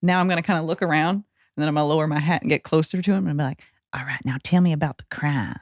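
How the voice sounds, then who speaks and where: A woman's voice: low-pitched, steady tone, no echo. A woman playing a tabletop role-playing game, chatty and playful. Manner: playful, chatty, quick to laugh. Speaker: player. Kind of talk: casual tabletop play.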